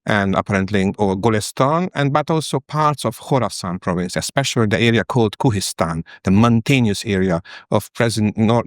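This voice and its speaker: A man from Hungary with a Hungarian accent, a professor giving formal, somewhat halting scholarly explanations as a guest. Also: lowish voice, dry recording, clean studio sound. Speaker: history professor, interview guest.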